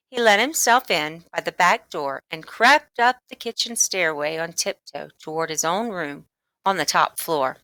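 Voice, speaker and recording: A woman reading a children's book aloud as expressive, deliberate scripted narration. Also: lowish voice, no room sound. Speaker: storybook reader.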